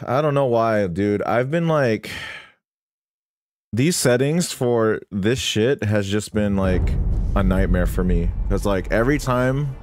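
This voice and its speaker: A man's voice: lowish voice, expressive, slow pace, no room sound. A young man streaming a game live from his room, chatting casually with viewers and cursing when frustrated. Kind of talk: casual live gameplay commentary. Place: room at home, indoors. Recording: game music loud behind the voice. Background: loud game music.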